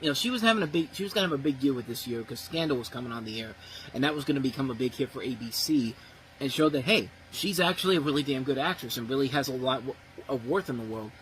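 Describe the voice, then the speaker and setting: A man's voice: mid-range voice, lively intonation, fast talker, fairly bright tone, a little hiss or hum, poor audio. A man giving a blunt, profane, exasperated movie review, ranting about what went wrong. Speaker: film reviewer.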